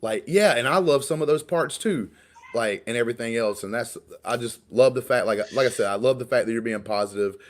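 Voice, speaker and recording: A man's voice: medium pitch, a little fast. A man in his late thirties co-hosting a casual podcast chat, talking in a rambling way and restarting his words often. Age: 30s. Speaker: podcast co-host.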